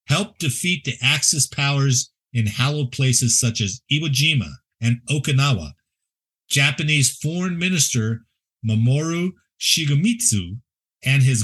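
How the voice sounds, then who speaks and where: A man's voice: medium pitch, breathy edge, poor audio. A man co-hosting a casual podcast, reading out headlines and joking, laughing often. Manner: laughs often, animated delivery. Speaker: podcast co-host.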